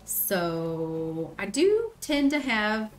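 A woman in her thirties with a Southern US accent, chatting casually on a gaming stream, reading chat aloud and often pausing with ums. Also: medium pitch, very animated, soft background music. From Southern United States. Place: small room indoors. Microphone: headset.

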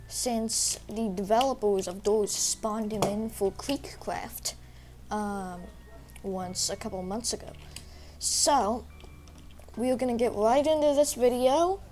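A young woman narrates a game walkthrough while sick, her voice a little rough. She talks slowly and a bit high, with many fillers and restarts. A faint noise sits under her voice. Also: a little mellow. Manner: rambling, filler-heavy, hesitant. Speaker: gaming YouTuber. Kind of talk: casual gameplay walkthrough.

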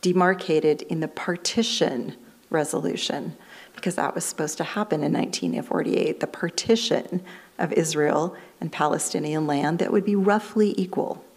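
A woman minister in her fifties with an American accent speaks at a lectern microphone in a fellowship hall. Her voice sits low for a woman, and her delivery is measured and explanatory as she walks through history in a sermon. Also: steady tone, vocal fry, a little mellow, husky.

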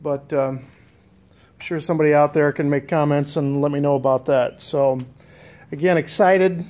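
A man's voice: fairly high pitch, steady tone, moderate pace, darkish tone. An American man giving a casual, unscripted walkthrough as a DIY restorer, hesitant and thinking aloud. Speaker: DIY boat restorer and vlogger. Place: garage with concrete floor. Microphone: handheld camera, operator behind it.

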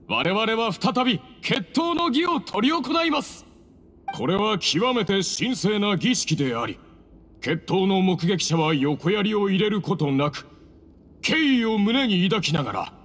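A formal temple officiant in an anime game, reverent and declamatory. His high voice rises and falls widely and rings through a hall. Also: fairly bright tone, full character voice. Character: reverent, formal male temple master of ceremonies. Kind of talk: ceremonial proclamation in anime game. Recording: echoing hall with background noise.

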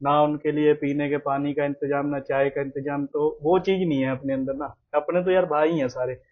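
A man's voice: a little high, little pitch movement, moderate pace, phone-quality sound. A young man hosting a casual home vlog, chatting to viewers and repeating himself often. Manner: chatty, repeats himself, sprinkles English words. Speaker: vlogger host. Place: small room at home, indoors.

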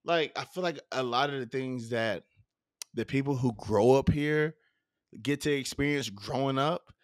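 A man's voice: medium pitch, unhurried, no echo. A man, hosting a casual podcast interview, talking loosely with many fillers and restarts on a studio mic. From southern United States. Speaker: podcast host. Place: home studio. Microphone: studio mic with headphones.

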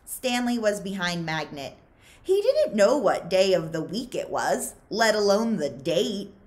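An American woman in her thirties reading a children's story aloud with deliberate, dramatic emphasis, as a librarian would. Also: mid-range voice, very animated, darkish tone. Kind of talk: expressive children's audiobook-style read-aloud. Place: small quiet living room with bookshelves.

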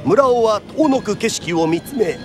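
A man's voice: high voice, expressive, unhurried, music in the background. A man narrating a radio drama in the theatrical, declamatory style of a traditional Japanese storyteller.

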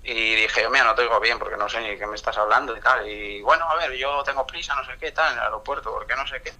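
Casting: indignant, sweary male phone-call target, Spain accent, very sharp bright voice, heard over speakerphone. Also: mid-range voice, fast. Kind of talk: casual, heated phone chat. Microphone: heard through a phone on speaker.

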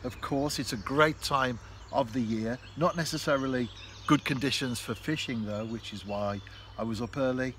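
A man, talking to camera in a relaxed, reflective way while fishing on a canal bank. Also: mid-range voice, normal speed. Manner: chatty, reflective, speaks straight to camera. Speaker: fishing vlogger. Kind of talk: relaxed outdoor vlog narration. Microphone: camera close in front. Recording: faint outdoor noise. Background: birds and countryside ambience.

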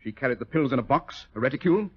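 A shrewd, coolly analytical detective, his husky voice swinging expressively as he probes. He is precise, commanding and wry, in a radio play heard on a muffled vintage recording. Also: mid-range voice, animated, racing. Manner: precise, incisive, questioning, wry. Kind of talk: old-time radio drama acting.

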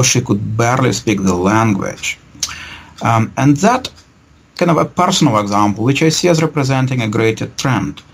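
Gravelly, husky middle-aged man, academic. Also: mid-range voice, poor audio. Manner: measured, academic, hesitant with repeated words. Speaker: history professor being interviewed. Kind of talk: analytical interview answers. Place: book-lined office, indoors. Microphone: video call computer microphone.

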